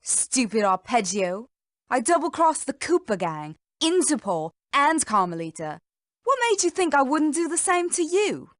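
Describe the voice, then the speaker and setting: A woman's voice: high-pitched, sing-song, a little slow, no echo, studio quality. A woman playing a haughty, treacherous cartoon animal villain in a video game, dramatic and scornful. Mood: triumphant, contemptuous.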